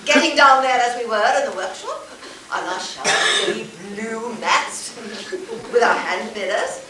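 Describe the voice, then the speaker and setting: Actress playing high-voiced, earnest woman, echoey theater. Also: crowd noise, lightly acted. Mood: awkward, bemused. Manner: dramatic, savoring words with deliberate pauses. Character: earnest, awkward, wonder-struck woman confiding intimately. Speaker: stage actor. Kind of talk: theatrical stage monologue. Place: college theater stage before an audience. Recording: echoey theater, distant mic, noisy hiss.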